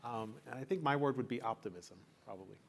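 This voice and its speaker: A man, a corporate executive, introducing himself on a panel in a brisk, polished, businesslike way. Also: medium pitch, vocal fry, slight background noise.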